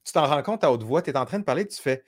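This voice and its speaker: A man's voice: a little high, rapid-fire. A man with a Quebec French accent, a guest telling stories in casual conversation and acting out his own thoughts. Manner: animated storytelling, acts out quoted inner voices.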